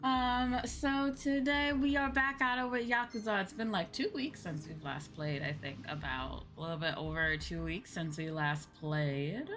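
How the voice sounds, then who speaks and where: Woman streamer chatting over clear background music. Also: on the low side, unhurried, few pauses, a bit bright. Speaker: VTuber streamer. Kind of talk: casual livestream chat.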